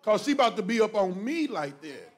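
A middle-aged man, a pastor talking to his congregation from a church stage into a handheld mic. He jokes and preaches in a lively way, often breaking into loud, emphatic exclamations. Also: high-pitched, a little fast. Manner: animated, joking, bursts into loud emphatic exclamations. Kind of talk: preachy conversational talk to a congregation.

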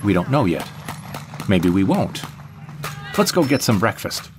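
A man reading as a hopeful, lovestruck rebel guy in a sci-fi audio drama. His voice is low and animated, with clear noise behind it. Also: a little slow. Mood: upbeat.

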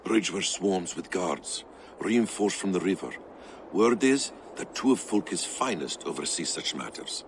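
A man voicing a calm, shrewd warrior adviser in a video game, measured and composed. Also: low-pitched, slight background noise. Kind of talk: dramatic video game acting.

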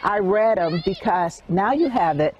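A woman's voice: medium pitch, lively intonation, unhurried, a little mellow. A middle-aged woman answers in a news interview outdoors. The sound is a bit dull, with faint noise around her voice. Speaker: interviewed local resident. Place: outdoors near parked cars.

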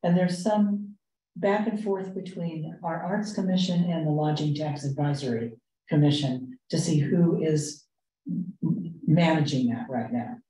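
A woman leading a committee meeting, explaining items in a halting, measured way. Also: sits low, mellow, a little hiss or hum. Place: indoor meeting room. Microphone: distant room microphone. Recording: roomy, distant meeting-room microphone.

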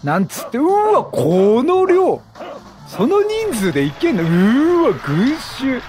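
A man's voice: very high voice, wildly swooping pitch, slow. A man doing casual let's play commentary, playful and reactive, bantering with the characters in slang. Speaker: gaming streamer. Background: game music.

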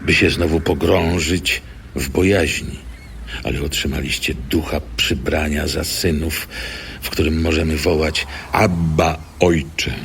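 A man playing an earnest, stern apostle in a dramatized audio Bible, teaching in a grave, emphatic and deliberate manner. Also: rumbling low, gravelly, a bit bright, a little hiss or hum, exaggerated voice.

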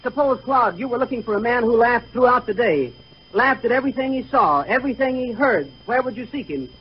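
A man's voice: very high voice, expressive, fairly quick, breathy edge, exaggerated voice. An actor playing an earnest, pompous, wordy straight man in a 1930s radio comedy act on a muffled recording.

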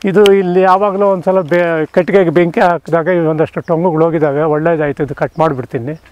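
An older man with a somewhat high voice walks through his orchard, speaking unhurriedly and restarting words as he points things out. He is recorded outdoors on a rural farm on a clip-on mic, with nature sounds behind him. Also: fairly even intonation, a little mellow, a little hiss or hum. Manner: slow, halting, with many restarts and pauses. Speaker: farmer showing his orchard. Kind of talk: casual farm walk-through. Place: outdoors on a dry rural farm among trees.

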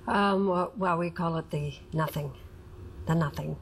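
A woman's voice: sits low, steady tone, a little slow, slight background noise. A middle-aged woman speaking casually as an interview guest. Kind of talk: casual conversation. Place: television-style studio set, indoors.

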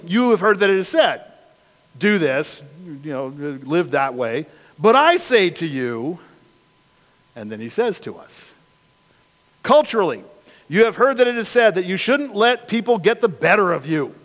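Older pastor preaching in a reverberant church. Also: male voice, sits high. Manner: conversational preaching with rhetorical questions. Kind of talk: sermon. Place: church sanctuary, speaking from a pulpit. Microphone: far camera at the back of the church. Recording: long church hall reverb.

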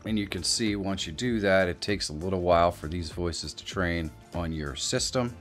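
A middle-aged man hosting a casual software tutorial. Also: low-pitched, moderate pace, faint music behind. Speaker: tutorial host. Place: small indoor home studio.

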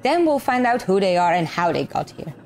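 A woman's voice: medium pitch, sing-song, fairly quick, darkish tone, a little hiss or hum. A woman playing an authoritative, businesslike space station commander in an amateur audio drama, giving official orders.

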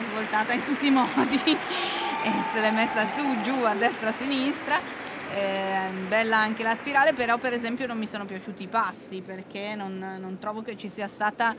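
A woman's voice: mid-range voice, very noisy. A woman giving live figure skating commentary as a coach, thinking aloud and often repeating her words. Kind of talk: live sports commentary, unscripted analysis. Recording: music playing loudly behind the voice. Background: loud arena music.

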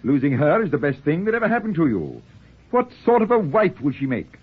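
An actor playing a pompous, fussy middle-aged gentleman in 1940s radio style, with a husky, exasperated, theatrical delivery. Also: on the high side, wildly swooping pitch, brisk, exaggerated voice. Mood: indignant. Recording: muffled vintage 1940s radio broadcast.

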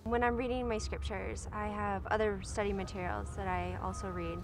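A woman's voice: mid-range voice, fairly even intonation, moderate pace. Cheerful young woman from Maine. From Maine, USA. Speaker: missionary. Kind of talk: interview. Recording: noticeable background noise.

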